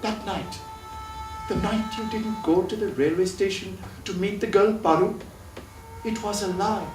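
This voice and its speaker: A man's voice: high-pitched, unhurried. An actor playing a remorseful male chef who earnestly confesses an old lie on a live stage. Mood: pleading. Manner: earnest, pleading. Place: theatre stage. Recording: roomy stage from a distance, music.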